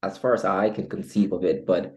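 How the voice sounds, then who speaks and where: Male guest, Ghanaian accent, low-pitched and dark-toned, measured, diplomatic, conversational. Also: steady tone. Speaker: interview guest. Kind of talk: casual conversation.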